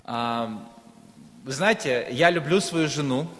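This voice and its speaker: A man's voice: mid-range voice, a little slow, a bit bright. A man from Ukraine, a native Russian speaker, preaching in short phrases that leave room for an interpreter. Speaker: guest preacher. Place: church hall. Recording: echoey hall with long reverb.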